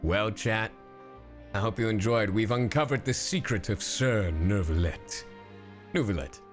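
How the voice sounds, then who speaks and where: A man livestreaming casual reaction commentary, slangy, sweary and excitable. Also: lowish voice, a little slow, soft background music. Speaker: streamer.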